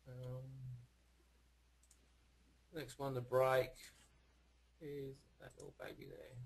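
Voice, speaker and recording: A man with a hoarse voice he says he is losing, teaching students with clipped, thinking-aloud commentary on market charts. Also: medium pitch, flat delivery, slow pace. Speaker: trading instructor. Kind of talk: live market analysis for students.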